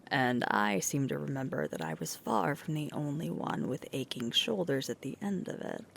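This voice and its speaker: A woman playing a sweet, tired, affectionate werewolf girlfriend in an intimate ASMR roleplay, speaking softly with a breathy, creaky voice. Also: a bass voice, very animated, moderate pace, a little hiss or hum, heavily acted. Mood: tender, fond. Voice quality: breathy, creaky, often whispering.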